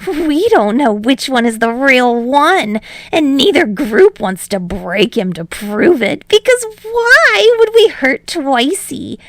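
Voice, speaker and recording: A woman's voice: high voice, very animated, slow pace, few pauses, fairly bright tone, no room sound, voice pushed up, full character voice. A woman voicing a cheerful, clingy teenage villain girl in an audiobook, sweet, pleading and playful.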